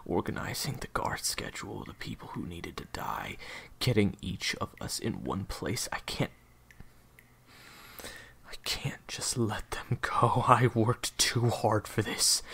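Casting: male actor as obsessed, defiant assassin leader for audio drama; low, husky, full of creak. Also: animated, measured pace, in a whisper, full character voice. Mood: bitter, strained. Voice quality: husky, gravelly. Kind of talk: audio roleplay drama acting.

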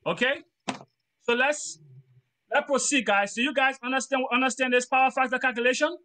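A man's voice: extremely high pitch, steady tone, a little fast, sharp tone. A middle-aged man from Liberia with a Liberian accent, giving an earnest, preachy motivational talk during a training lesson and repeating his phrases for emphasis.

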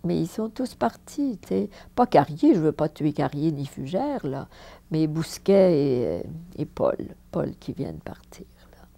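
An older woman from France with a Parisian accent, her low, mellow voice rising and falling a great deal. She speaks emphatically, repeating words for stress, as an actress looking back on her career in a sit-down interview at home. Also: a little slow, gravelly. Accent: Parisian French. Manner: animated, emphatic repetitions, frequent laughs. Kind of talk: reminiscing interview. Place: living room with fireplace, indoors.

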